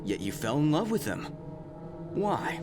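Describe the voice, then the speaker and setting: A man's voice: a little high, sing-song, measured pace, over loud music. An actor playing a blunt, earnest young male police detective in an audio drama. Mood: pressing, measured.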